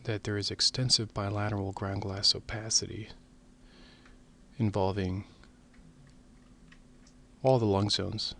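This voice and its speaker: A man narrating a medical case lecture as presenter, in measured, formal explanatory phrasing. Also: lowish voice, flat delivery, vocal fry, a bit bright.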